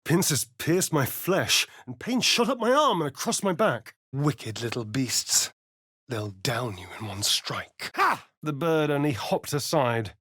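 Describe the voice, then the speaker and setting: A man's voice: fairly high pitch, big pitch swings, measured pace, fairly bright tone, dry recording, clean studio sound, exaggerated voice. A man playing a vain, sardonic vampire rogue in a video game, with an arch, theatrical, drawling delivery. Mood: amused, sly. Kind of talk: video game character voice lines.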